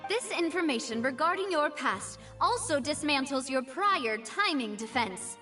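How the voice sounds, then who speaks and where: A woman's voice: sits high, lively intonation, measured pace, bright, forceful tone, distant mic, faint music behind, full character voice. An actress playing a confident young noblewoman detective in a game, poised, pointed and theatrical. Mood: firm, reproachful.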